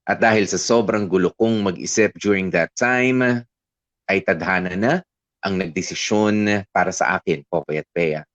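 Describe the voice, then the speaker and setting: A man's voice: on the low side, no echo. A man narrating a first-person letter on radio in an earnest, confiding way. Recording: dry dull radio studio sound.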